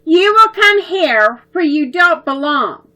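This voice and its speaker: Woman doing shouting bully children. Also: extremely high pitch, expressive, takes it slowly, soft background music, voice pushed up, full character voice. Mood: taunting. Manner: loud, taunting, shouting. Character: two rude bullying neighbor children, shouting.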